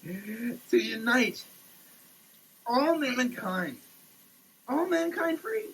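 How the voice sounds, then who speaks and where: A person giving a dramatic, declamatory reading in a halting way, often repeating words. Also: medium pitch, very animated, a bit bright. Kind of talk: dramatic, theatrical reading of a product label. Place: in a running shower. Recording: noisy wet shower recording.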